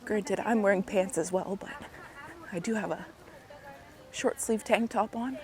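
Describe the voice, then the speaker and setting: A woman, hoarse and a bit raspy from a cold, chatting casually as she walks through a park and vlogs on a handheld camera, often dropping into soft asides. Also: unhurried, faint music behind. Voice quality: raspy, slightly deep. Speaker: walking vlogger. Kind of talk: casual walking commentary. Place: outdoors in a park while walking. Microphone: handheld gimbal camera mic. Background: faint outdoor ambience.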